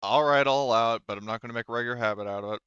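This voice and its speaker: A man running a tabletop role-playing game as game master, casually and haltingly thinking aloud through rules and dice. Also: mid-range voice, fairly bright tone, smooth, no echo, dull audio.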